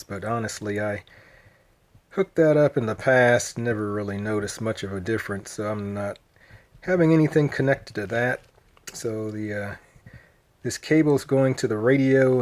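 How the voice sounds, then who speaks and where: A man gives a casual, unscripted walkthrough as a hobbyist host. His voice sits at an average pitch, and he speaks slowly. His delivery is hesitant, with frequent pauses as he goes. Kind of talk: casual unscripted show-and-tell. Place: at home indoors, later outside in a yard. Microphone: handheld phone.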